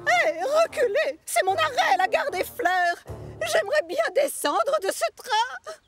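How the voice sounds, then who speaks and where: A woman voicing an indignant, bossy lady train passenger in a children's cartoon dub, shrill and emphatic. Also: sing-song, measured pace, fairly bright tone, soft background music, heavily acted. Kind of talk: animated children's series dub.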